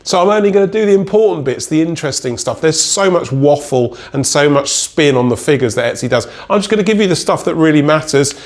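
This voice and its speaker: A man's voice: fairly high pitch, lively intonation, quick pace, few pauses. A man with a head cold and a slightly nasal voice, talking casually to viewers as an online selling consultant and often checking in with them. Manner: chatty, checks in with listeners with "right?" and "okay?". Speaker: Etsy consultant. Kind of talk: casual direct-to-camera explainer.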